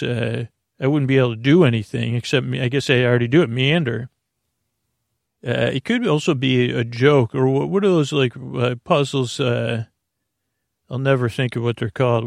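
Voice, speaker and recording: A man hosting a sleep podcast, giving a meandering, self-mocking and halting improvised intro. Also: medium pitch, creaky, no echo, clean studio sound.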